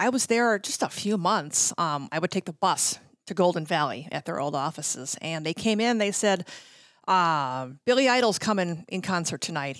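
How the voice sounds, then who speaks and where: A woman's voice: fairly low pitch, dry recording. A middle-aged American woman telling anecdotes casually as an interview guest, chattily acting out bits of dialogue as she goes. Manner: chatty, self-quoting, acts out dialogue. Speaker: interview guest, former radio promotions worker.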